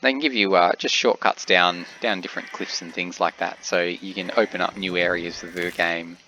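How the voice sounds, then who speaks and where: A man with a cold, sounding a little congested, giving relaxed game commentary with frequent pauses. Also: sits low, slight background noise, poor audio. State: has a cold, slightly congested. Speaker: gameplay commentator.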